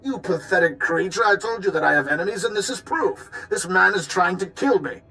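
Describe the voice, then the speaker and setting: A man's voice: high voice, a little fast, sharp tone, faint music behind. An actor playing a pompous, wealthy and shady businessman who is imperious and easily panicked, in a video game. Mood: furious, desperate. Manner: imperious, blustering.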